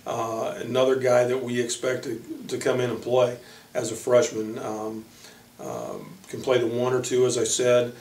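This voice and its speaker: A man speaking as a college basketball coach, introducing players informally in a halting way with frequent pauses. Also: medium pitch, lively intonation, vocal fry, darkish tone. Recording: echoey room, distant microphone.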